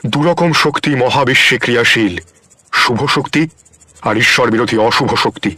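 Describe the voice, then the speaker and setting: An actor playing a kindly, wise wandering ascetic in an audio story drama, speaking in a gentle, earnest, philosophical manner. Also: male voice, medium pitch, moderate pace. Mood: solemn teaching. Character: wandering holy man, kindly, wise, plain-spoken ascetic.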